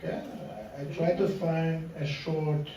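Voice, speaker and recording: A man's voice: a little high, level tone, a little slow. A man giving an informal introduction as a poet at a reading. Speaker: poet introducing own poem. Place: indoor literary meeting room. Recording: very echoey, distant, noisy room.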